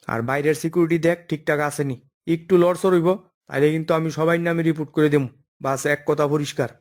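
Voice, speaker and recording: A man playing a stern high-voiced cartoon office boss. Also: lightly acted. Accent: eastern Bengali dialect. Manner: bossy, admonishing, explanatory. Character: stern, by-the-book male office boss holding job interviews. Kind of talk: comic cartoon dialogue.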